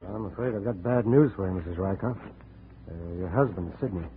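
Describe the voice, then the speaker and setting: Low, halting police sergeant played by an actor. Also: male voice, lightly acted. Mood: awkward, grave. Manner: halting, formal. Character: awkward police sergeant bearing bad news. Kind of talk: 1950s radio drama acting. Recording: muffled, noisy old radio recording.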